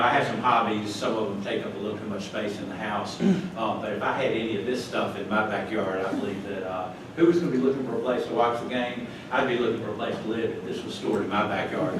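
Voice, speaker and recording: An older man from North Carolina, giving a chatty, folksy slide talk to a club with chuckling asides. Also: lowish voice. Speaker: club guest speaker. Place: meeting hall. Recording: echoey room from far off, noisy.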